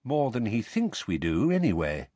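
A man voicing a reasonable grown man in an audiobook, measured and pointed in manner. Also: lowish voice, wildly swooping pitch, mellow, breathy edge, no echo, pitched above the performer's own voice, exaggerated voice. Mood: measured, satisfied. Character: reasonable, calm but sharp grown man peacemaker.

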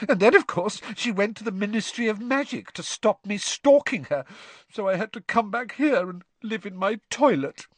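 A man voicing a glum, touchy teenage girl ghost in a storybook, with a shrill, wailing, self-pitying delivery. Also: lively intonation, no echo. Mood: mournful. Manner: wailing, drawn-out, mournful delivery.

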